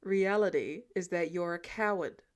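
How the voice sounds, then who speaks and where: A woman's voice: lowish voice, moderate pace, pitched below the performer's own voice, lightly acted. A woman voicing a smug, self-assured, teasing older man with a matter-of-fact, goading delivery in an audiobook. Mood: challenging. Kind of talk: audiobook character dialogue.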